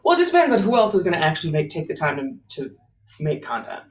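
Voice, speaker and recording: A woman's voice: lowish voice, huge pitch swings, fast talker. A woman with an American accent hosting live talk radio in a casual, bantering style. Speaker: radio show host.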